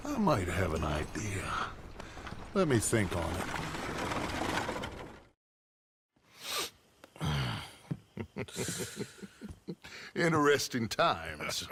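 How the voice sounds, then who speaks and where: Slow-talking, suave American outlaw leader. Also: male voice, on the low side, very animated, background noise. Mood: scheming, reflective. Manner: measured, courteous, wordy. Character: suave, scheming American outlaw gang leader, politely guarded. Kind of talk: video game cinematic acting.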